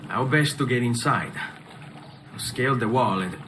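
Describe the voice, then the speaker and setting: An actor playing a confident, easygoing young assassin in a video game, with an Italian accent and a wry, assured manner. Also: male voice, mid-range voice, measured pace, noisy background, close to an everyday voice. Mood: calm, musing.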